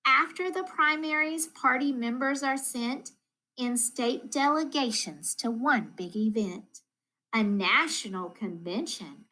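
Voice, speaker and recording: A woman reads a rhyming children's storybook aloud with expressive, deliberate, rhythmic phrasing, like a school teacher. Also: a little high, poor audio. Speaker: school math coach reading aloud.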